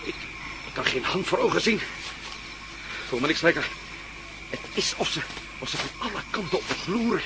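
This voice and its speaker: A man's voice: on the high side, animated, normal speed, pauses often. A man acting a decisive, commanding expedition leader in a Dutch radio drama, speaking with urgency. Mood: uneasy. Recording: noisy old radio play recording.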